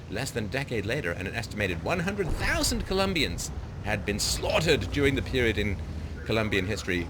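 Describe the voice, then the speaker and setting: A man giving a sarcastic political commentary, dry and mocking in tone. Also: noisy background. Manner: sardonic, mocking quotes in exaggerated high voices. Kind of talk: sarcastic political monologue over gameplay.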